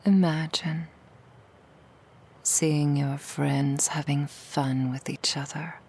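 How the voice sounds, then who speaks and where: A woman playing a seductive female demon in an ASMR roleplay, coaxing the listener in a breathy whisper with soothing, insidious persuasion. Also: low-pitched, a little mellow, full character voice. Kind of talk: ASMR roleplay, intimate monologue.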